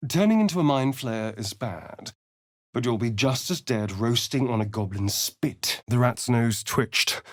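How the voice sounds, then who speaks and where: A man's voice: medium pitch, no echo, clean studio sound. A male actor playing a vain, sly, sardonic vampire adventurer in a video game, with a theatrical, haughty delivery. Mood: wry, wary, then measured storytelling. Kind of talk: video game character voice lines.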